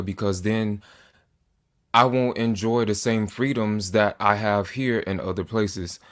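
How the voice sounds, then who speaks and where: Earnest man in his twenties, slightly low. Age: 20s. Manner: earnest, deliberate, with small restarts. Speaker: political commentator. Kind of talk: earnest to-camera monologue.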